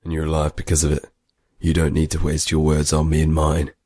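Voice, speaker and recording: A man playing a gruff, curt military commander in an audio drama, with a deep gravelly voice and terse, commanding delivery. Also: completely flat delivery, a little fast, mellow, dry recording, clean studio sound. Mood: cold, resentful. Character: gruff, curt male soldier commander named Marx.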